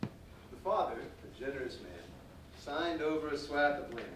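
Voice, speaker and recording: A man's voice: on the high side, unhurried, natural voice. A man playing a storyteller who voices a generous, forgiving father in a theatrical staged reading. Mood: measured, tender. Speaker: narrator, also voicing the father. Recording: very echoey stage, distant mic, background noise.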